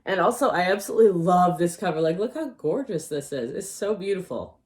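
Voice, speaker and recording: A woman's voice: lowish voice, animated, fairly quick, a little mellow. A woman chatting through a casual book haul for her channel. Her voice is wearing thin and she is losing it. She rambles, hedges and second-guesses the details as she talks. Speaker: book vlogger.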